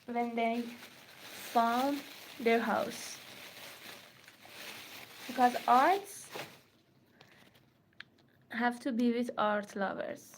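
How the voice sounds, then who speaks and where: A woman from Iran with a Persian accent, talking slowly in a fairly high voice. She hosts a crafting livestream from her studio, using simple, halting English and often repeating herself. Her speech sounds plainly non-native. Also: slight background noise, low-quality recording. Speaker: artist hosting a crafting livestream. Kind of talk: casual livestream chat.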